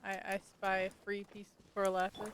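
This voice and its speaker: A woman's voice: medium pitch, completely flat delivery, moderate pace, a little hiss or hum. A woman game streamer giving casual, wry commentary and reading text aloud.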